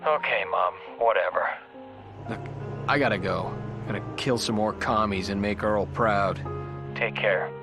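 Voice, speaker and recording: A troubled young army captain. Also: male voice, on the low side, a little slow. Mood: bitter. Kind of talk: game cutscene acting. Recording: music-heavy game mix.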